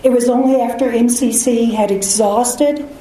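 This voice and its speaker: A soft-voiced female attorney presenting a measured, methodical oral argument before an appeals court. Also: a little high, fairly even intonation. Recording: distant, very echoey courtroom audio, faint noise.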